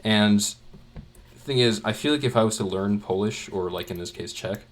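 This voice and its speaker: A man's voice: fairly low pitch, moderate pace. A young man chatting casually while he draws, rambling with many fillers and restarts. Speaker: art channel creator. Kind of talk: casual chat while drawing.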